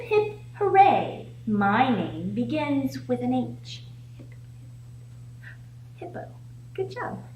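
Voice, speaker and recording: A woman's voice: medium pitch, a little mellow. A woman reading a picture book aloud to young children as a storytime host, with an encouraging, sing-song way of prompting her listeners. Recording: echoey room with faint background noise.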